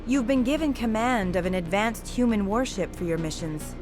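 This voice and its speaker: A woman's voice: mid-range voice, expressive, normal speed, over music, natural voice. Woman playing a pointed, pushy news reporter. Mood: probing, snide. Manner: pointed, probing, smug. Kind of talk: video game interview dialogue.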